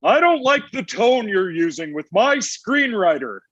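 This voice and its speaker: A man's voice: high-pitched, sing-song, a little slow, fairly bright tone, husky, muffled recording, full character voice. A man playing Santa Claus as an insecure, petulant, needy husband in a comedy table read, hesitant and easily wounded.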